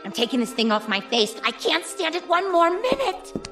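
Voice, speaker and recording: An actress playing a bored, spoiled young granddaughter with a flippant, sarcastic manner in a radio drama. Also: female voice, extremely high pitch, sing-song, normal speed, fairly bright tone, faint music behind, heavily acted. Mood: petulant, defensive.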